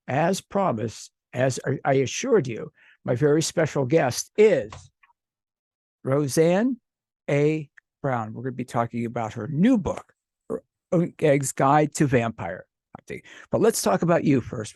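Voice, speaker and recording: A man hosts a radio interview, effusive and rambling as he restarts his sentences. His voice sits at an ordinary middle pitch. The sound is dry, with no room behind it. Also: lively intonation, a little slow, gravelly, a little mellow, no room sound. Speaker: radio show host.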